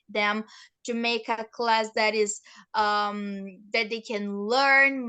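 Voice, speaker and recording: A woman's voice: fairly high pitch, bright, forceful tone, clear, resonant tone. A nineteen-year-old woman from Brazil with a Brazilian Portuguese accent, a teacher answering interview questions thoughtfully with frequent restarts. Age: teens.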